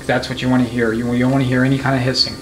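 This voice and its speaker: A man's voice: mid-range voice, little pitch movement, rapid-fire. A man giving an unscripted, hands-on tutorial as a mechanic, explaining methodically in a conversational way. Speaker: transmission mechanic giving a demonstration. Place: indoor transmission repair workshop. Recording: clear background noise of a workshop.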